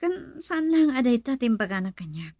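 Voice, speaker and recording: A woman playing a gentle, worried young woman in love in a radio romance drama, earnest and plaintive. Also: on the high side, big pitch swings, moderate pace, no echo. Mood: pleading.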